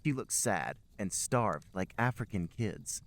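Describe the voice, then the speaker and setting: A man's voice: lowish voice, animated, a little slow. A man hosting a podcast, reading amateur fiction aloud as comic narration with an emphatic storybook delivery.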